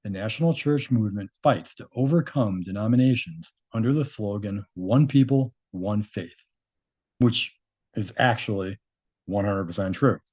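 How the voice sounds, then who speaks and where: A man speaks in a fairly low, dark and relaxed voice. He reads from a document deliberately and comments on it as he goes in an informal, opinionated way. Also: husky. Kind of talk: reading a document aloud with off-the-cuff commentary.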